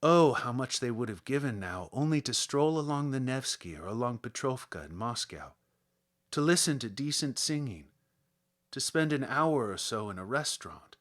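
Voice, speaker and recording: A man narrating a literary story as an audiobook reader, with an expressive storytelling delivery. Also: mid-range voice, normal speed.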